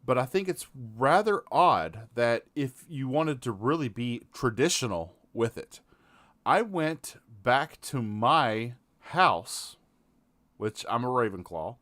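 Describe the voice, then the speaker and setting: A man hosting a casual gaming podcast, measured, talking things through in long stretches. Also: medium pitch, expressive, a bit bright, no room sound. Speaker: podcast host.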